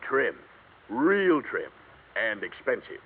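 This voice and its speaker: A man's voice: mid-range voice, big pitch swings, slow, husky, narrow, tinny audio, full character voice. A man with an American accent playing a wisecracking, gossipy insurance man in an old radio drama, telling things in colorful slang. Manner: jokey, colorful, slangy storytelling. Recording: thin, band-limited vintage radio recording.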